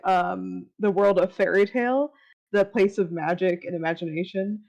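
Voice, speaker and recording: A man's voice: high-pitched, darkish tone, dull audio. A man guest in a casual chat, thinking aloud in a tentative way. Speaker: guest writer on an after-show.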